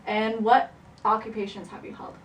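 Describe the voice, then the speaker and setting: A woman's voice: a little high, little pitch movement. A young woman, a student, narrating and casually interviewing her family at home, chatty and teasing. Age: young adult. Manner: chatty, teasing, quick to laugh. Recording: roomy, distant camera mic, faint hiss.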